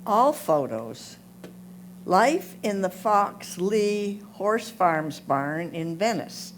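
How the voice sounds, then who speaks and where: A woman's voice: lowish voice, slight background noise. A woman reads scripted photo captions aloud. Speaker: club member reading photo captions. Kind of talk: scripted narration read aloud.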